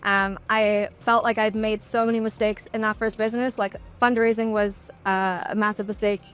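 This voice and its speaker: A woman's voice: medium pitch, flat delivery, normal speed, faint music behind. A woman who founded her own company, talking casually on a panel in a matter-of-fact way.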